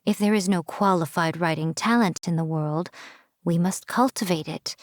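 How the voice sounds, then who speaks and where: A woman voicing a sly, elegant fox-spirit shrine priestess in a video game, with coy, teasing, haughty and measured delivery. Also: lowish voice, dry recording, clean studio sound, close to an everyday voice. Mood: musing, amused. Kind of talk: game character voice lines, theatrical monologue.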